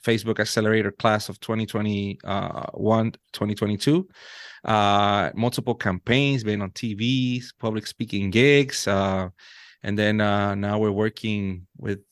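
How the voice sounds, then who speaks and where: Puerto Rican man, 30s, native Spanish speaker, earnest podcast guest, lowish voice, dry close sound. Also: fairly even intonation, unhurried, clean tone, no room sound. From Puerto Rico. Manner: earnest, frequent restarts and fillers. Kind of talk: casual interview chat.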